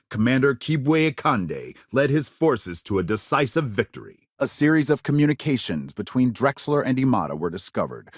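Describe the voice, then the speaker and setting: An actor playing a polished, earnest news reporter in a sci-fi video game, reading scripted bulletins in a measured, emphatic delivery. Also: male voice, on the low side, no echo, clean studio sound.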